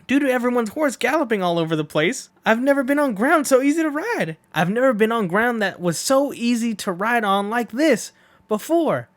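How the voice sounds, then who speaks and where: A man's voice: very high voice, sing-song, normal speed, voice pushed up. A man voicing an exuberant young horse racer with a giddy, boastful manner in a manga read-along. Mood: giddy delight.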